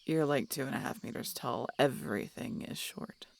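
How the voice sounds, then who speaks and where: A woman's voice: extremely low pitch, normal speed, vocal fry, exaggerated voice. A woman playing a grumpy, cynical, guarded female harpy in an audio roleplay, talking in a curt, sardonic, grudging way.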